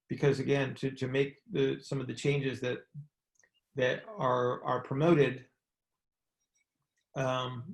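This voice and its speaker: Male coach, fry-edged voice, explanatory and conversational, often checking understanding; roomy, echoing sound. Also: medium pitch. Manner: explanatory, checks in with rhetorical questions. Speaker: coach and mentor hosting a group call. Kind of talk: conversational expert advice. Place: indoor room, on a conference call.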